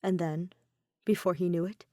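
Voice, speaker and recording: A woman's voice: fairly low pitch, fairly even intonation, normal speed, warm tone, dry recording, clean studio sound. A woman reading a piece of fan fiction as scripted story narration. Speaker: podfic narrator.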